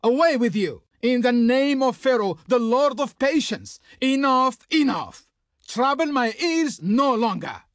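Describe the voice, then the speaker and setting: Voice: sits high, very animated, unhurried, no echo, studio quality. An actor playing a haughty, grandiose Egyptian diplomat in a video game, speaking in a pompous, formal, declamatory way. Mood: exasperated contempt. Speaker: game character, faction envoy. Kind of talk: theatrical video game voice acting.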